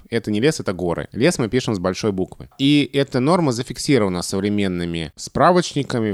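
A lower-voiced man lectures quickly and with authority, laying out rules step by step. The recording is dry and close, with no room sound. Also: no room sound. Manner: authoritative, didactic. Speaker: podcast host, language expert. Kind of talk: lecture-like explanation.

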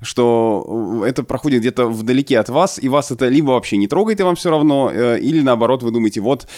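Male interviewer, average pitch, no room sound. Conversational, halting, winding questions. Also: darkish tone, no echo, clean studio sound. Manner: hesitant, roundabout long questions with many pauses. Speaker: interviewer, host.

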